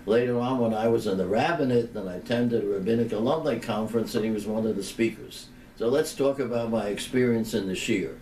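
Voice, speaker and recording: A man over eighty, a rabbi reminiscing in a deliberate, halting way with pauses between phrases. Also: on the low side, mellow. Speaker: rabbi giving a personal remembrance. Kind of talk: unhurried reminiscing tribute talk. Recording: roomy, distant microphone, background noise.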